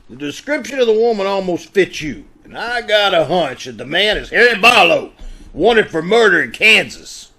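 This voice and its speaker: A man playing a blustery, suspicious police inspector in an old-time radio drama, with a forceful, accusing manner. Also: high-pitched, sing-song, normal speed, heavily acted. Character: blustery, suspicious male police inspector hunting fur thieves.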